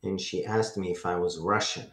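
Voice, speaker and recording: A middle-aged man from Israel with an Israeli accent and Hebrew as his first language, telling his personal testimony as a radio guest in an earnest, measured way. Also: deep voice, fairly even intonation, reverberant, a crowd in the background. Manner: earnest, measured storytelling with many "um"s.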